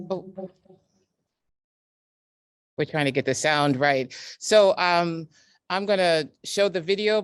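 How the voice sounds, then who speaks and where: A woman acts as host of a live online event, speaking casually and haltingly with an apologetic manner. Her voice sits in a middle register, and the sound is close and dry. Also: mid-range voice, clean tone, no room sound. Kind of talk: casual live hosting with technical troubleshooting. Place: community center, on a group video call. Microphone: computer video call.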